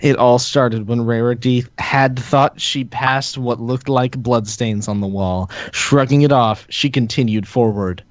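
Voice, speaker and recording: A man's voice: mid-range voice, steady tone, a little slow, hardly any pauses, no echo. A man narrating a fan-fiction story aloud in a comedic group reading, stumbling and laughing over the words. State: sick. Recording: dry, dull lo-fi home mic.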